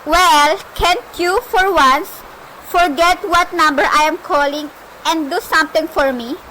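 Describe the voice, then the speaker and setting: A woman's voice: very high voice, a little slow, sharp tone, heavily acted. A woman playing a frantic, imperious telephone caller in an amateur suspense radio play, melodramatic and insistent. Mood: exasperated, insistent. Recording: noisy amateur recording with faint hiss.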